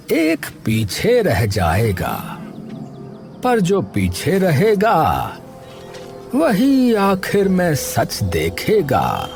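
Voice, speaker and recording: A man playing a calm, knowing old seer in an animated story, speaking in a deliberate, prophetic manner. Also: fairly high pitch, exaggerated voice. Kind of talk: Hindi animated story dialogue. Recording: loud background music.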